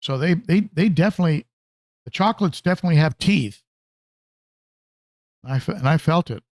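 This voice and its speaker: A man hosts a relaxed, informal livestream in a slightly high voice. He speaks conversationally and a bit haltingly, doubling back on his phrases as he responds to viewers. The sound is very dry, with no room echo. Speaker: livestream host, aquarium hobbyist. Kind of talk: casual livestream chat, answering viewer comments.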